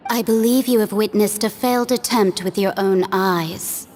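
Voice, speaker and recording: Calm mysterious game lady guiding folks, voiced by a woman, mid pitch, echoey hall sound. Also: very noisy, lightly acted. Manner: unhurried, softly teasing. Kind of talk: video game voice acting. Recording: long hall reverb.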